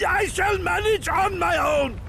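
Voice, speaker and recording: Old warrior guy in a video game, gravelly forceful bellowing, fierce and self-sacrificing, some rumble behind. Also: unhurried, heavily acted. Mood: desperate, commanding. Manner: strained, bellowing, archaic formal commands. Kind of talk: dramatic video game battle acting.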